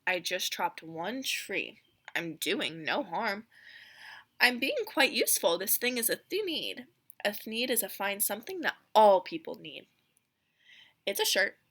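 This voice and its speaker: A woman's voice: medium pitch, big pitch swings, a little slow, a bit bright, husky, full character voice. Greedy eager businessman, read by a woman. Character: greedy eager male tree-chopping businessman.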